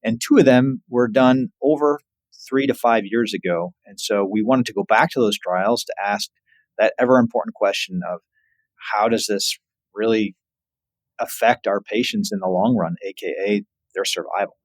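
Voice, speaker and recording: Guy guest expert explaining stuff informally in an interview, lowish voice, dry recording. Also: normal speed, no echo, poor audio. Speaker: surgical oncologist, podcast guest.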